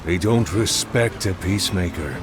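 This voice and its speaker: A man's voice: low voice, normal speed, close to an everyday voice. A man playing a threatening pirate villain in a video game, mocking and menacing. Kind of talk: dramatic video game acting. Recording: clear music behind the voice.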